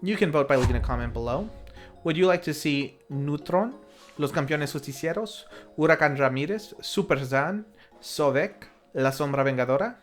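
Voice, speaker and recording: A man with a slightly high voice narrates a scripted film recap as a show host. He is tongue-in-cheek and pokes fun at himself as he goes. Music plays faintly underneath him. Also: expressive, normal speed. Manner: tongue-in-cheek, playfully self-mocking. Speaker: host and narrator of a retro lucha libre film review series.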